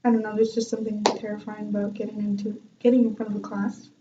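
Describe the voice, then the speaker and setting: A woman's voice: mid-range voice, flat delivery, quick pace, warm tone, slight background noise. Laughing young woman, dull distant sound. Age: young adult. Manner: chatty, self-mocking, laughs often. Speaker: vlogger and music student. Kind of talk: casual storytelling vlog.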